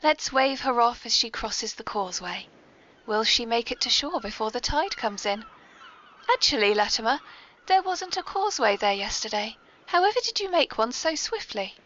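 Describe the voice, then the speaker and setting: Woman playing a high-voiced, prim British lady mastermind. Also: lively intonation, normal speed, sharp tone. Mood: arch, amused. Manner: prim, formal, arch, polite. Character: genteel, mischievous lady mastermind with secret lair. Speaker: podcast host. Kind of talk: scripted comic audio drama. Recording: dull lo-fi podcast recording.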